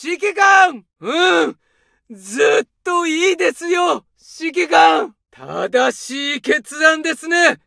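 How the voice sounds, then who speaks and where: A snarling young soldier. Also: male voice, very high-pitched, animated, slow pace, creaky, no echo, studio quality, full character voice. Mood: commanding. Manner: shouted taunts and orders. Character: aggressive young male Japanese infantry soldier. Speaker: soldier in a war game.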